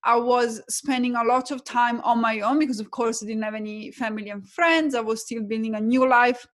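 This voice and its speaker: A woman's voice: a little high, a little fast, fairly bright tone. A young adult woman from Italy with an Italian accent, a guest coach telling her story reflectively in a casual podcast interview. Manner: reflective, storytelling, uses fillers like "um" and "like".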